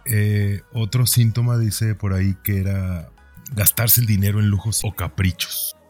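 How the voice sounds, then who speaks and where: A man's voice: lowish voice, fairly even intonation, a little slow, a little mellow, soft background music. A man in his forties hosting a podcast, talking through his own life in a conversational, introspective monologue, often checking his thoughts with a questioning tag. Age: 40s. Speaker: podcast host. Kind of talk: reflective personal podcast monologue.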